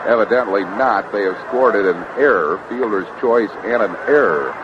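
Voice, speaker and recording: Man, live baseball play-by-play, clipped phrases, muffled vintage radio sound, loud background noise. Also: lowish voice, lively intonation, normal speed. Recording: noisy, dull vintage radio broadcast. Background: loud outdoor stadium ambience.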